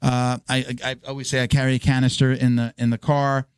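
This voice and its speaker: Hoarse podcast host reading ads. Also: male voice, mid-range voice, little pitch movement, quick pace, dry recording, studio quality. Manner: stumbling, filler-heavy ad reads. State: hoarse, losing his voice.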